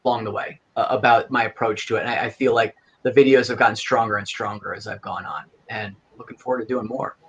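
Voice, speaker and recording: A man's voice: fairly low pitch, steady tone, fast, few pauses, a bit bright, husky, highs cut off. A man, the director of the audio drama, reflecting casually on his work in a podcast chat. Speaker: fan film director.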